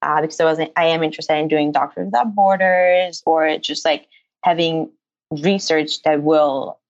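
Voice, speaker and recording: Guest voice: young Israeli-raised woman, earnest and chatty, low with vocal fry, dry dull lo-fi sound. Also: fairly even intonation, moderate pace, no room sound. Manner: earnest, many fillers, frequent laughter. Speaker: guest, incoming medical student. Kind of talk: casual interview answers.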